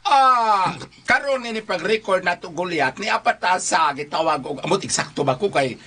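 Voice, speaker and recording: A male co-host with a Visayan Filipino accent gives casual advice. His voice sits high and bright, with a lively, wide-swinging melody. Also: moderate pace, slightly rough. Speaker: talk show co-host.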